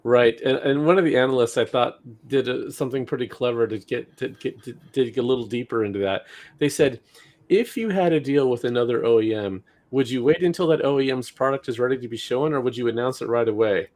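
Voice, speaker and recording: A man with an average-pitched voice co-hosts a discussion podcast. He talks in a casual, unscripted commentary style. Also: a little fast, darkish tone. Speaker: podcast co-host.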